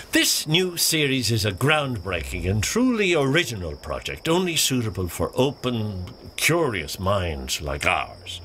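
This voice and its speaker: An actor playing a pompous, excitable male nature documentary presenter in a mock-serious animated short, theatrical and self-important. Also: on the low side, takes it slowly, slight background noise, full character voice. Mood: hushed wonder. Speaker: narrator. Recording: music bed behind the voice.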